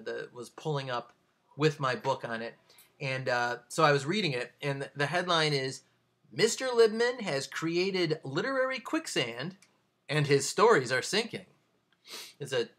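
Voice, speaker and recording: A man speaking casually to a camera as an author, in a halting, digressive way with dry, self-deprecating deadpan humour. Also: on the high side. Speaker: author reading a bad review of their own book. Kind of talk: casual video monologue with read-out excerpts.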